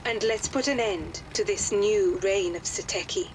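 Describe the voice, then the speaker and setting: Woman playing adventure leader, composed, businesslike, formal, medium pitch, clear background noise, game cutscene. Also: unhurried, natural voice. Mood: brisk, resolute. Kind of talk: video game cutscene acting.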